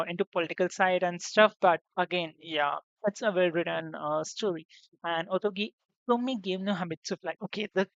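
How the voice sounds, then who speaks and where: A young woman VTuber chats casually on stream, rambling and restarting her sentences. Her voice sits a bit low and sounds slightly hoarse. The recording is dry and a little dull, as from a modest desk mic. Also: no room sound. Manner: rambling, chatty, many fillers and restarts. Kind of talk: casual debut stream chat.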